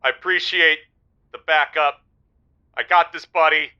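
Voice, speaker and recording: Flustered lovesick radio caller, stammery n earnest, super bright voice, muffled dry line. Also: male voice, a little high, steady tone, measured pace, clear, resonant tone, no room sound. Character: flustered, pleading lovelorn man on radio show. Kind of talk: comedic radio call-in acting.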